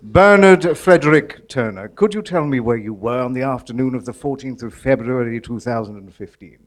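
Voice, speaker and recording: A man's voice: mid-range voice, moderate pace, lightly acted. A man acting in a live comedy as a weary, sardonic, exasperated judge with a formal manner that slips into spluttering.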